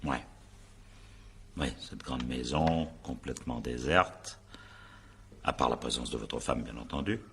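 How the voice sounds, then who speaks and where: A man's voice: a bass voice, measured pace, long pauses, a bit bright, slight background noise, exaggerated voice. A male actor playing a shrewd, sardonic examining magistrate in a radio drama, questioning with probing irony and muttered asides. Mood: measured, suggestive. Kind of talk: French radio drama acting, naturalistic interrogation.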